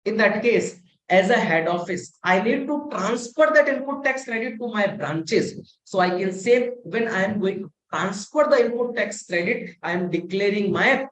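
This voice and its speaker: A man's voice: high-pitched, expressive, normal speed, fairly bright tone, slight background noise. A man with an Indian English accent giving a live online lecture, explaining step by step and repeating himself. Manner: explains step by step with many repetitions, mixing in Hindi. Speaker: webinar instructor. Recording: echoey room sound.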